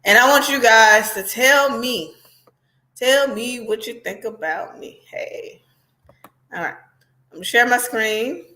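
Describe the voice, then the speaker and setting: A woman's voice: on the high side, a little slow, long pauses, bright, forceful tone. A woman hosting casual reaction commentary, talking straight to her viewers in a conversational, sardonic way. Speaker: commentary host.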